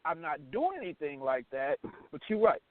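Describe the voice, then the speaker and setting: A man's voice: a little high, very animated, moderate pace. An American man, middle-aged, talking casually and candidly as a radio show host, blunt and emphatic, often repeating his phrases. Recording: tinny, phone-like band-limited audio.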